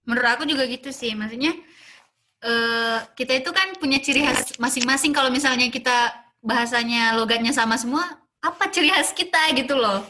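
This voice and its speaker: A woman's voice: high-pitched, fairly quick, pushed and bright, slight background noise. A young woman co-hosting a campus podcast, mostly adding short casual remarks and affirmations. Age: young adult. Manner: brief, affirming interjections. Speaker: podcast co-host. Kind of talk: casual chat.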